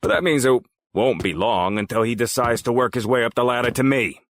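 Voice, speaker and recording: A man's voice: mid-range voice, brisk, dry recording, lightly acted. A man playing a cold, menacing crime boss in an audio drama, speaking in a controlled, condescending and sardonic way. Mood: cold, threatening. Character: cold, menacing male crime boss hosting powerful guests. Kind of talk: scripted audio drama dialogue.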